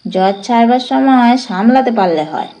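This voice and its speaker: A woman voicing a male village doctor in a storybook reading, businesslike, measured and concerned as he asks and advises. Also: fairly high pitch, lively intonation, dark tone, poor audio. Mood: grave concern.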